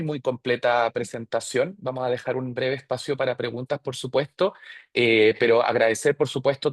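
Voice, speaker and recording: A man's voice: medium pitch, dry recording, clean studio sound. A man moderating a webinar, summing up points in an orderly, courteous style. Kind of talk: organized moderating and summarizing.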